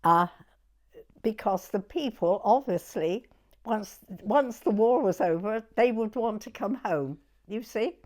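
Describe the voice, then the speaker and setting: Slow-speaking elderly southern English woman. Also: mid-range voice, expressive. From southern England. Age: 90s. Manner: halting, pausing to recollect. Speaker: oral history interviewee. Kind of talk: reminiscing in an interview.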